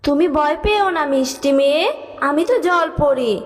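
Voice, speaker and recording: A woman voicing a kind, gentle water fairy from the sea, reassuring and sweet, in a children's cartoon. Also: high-pitched, normal speed, big-room sound, lightly acted. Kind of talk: Bengali children's cartoon dub.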